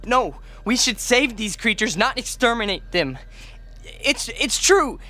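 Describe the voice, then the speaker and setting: Young male alien defector, radio drama. High, sharp voice, pleading, stammering, desperate. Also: animated, measured pace, exaggerated voice. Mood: earnest pleading. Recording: music under the voice.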